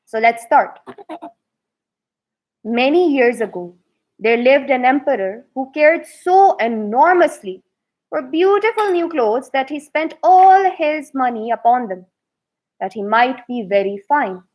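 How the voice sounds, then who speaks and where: A woman reading a fairy tale aloud to children with deliberate, expressive emphasis. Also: high-pitched. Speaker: children's storyteller.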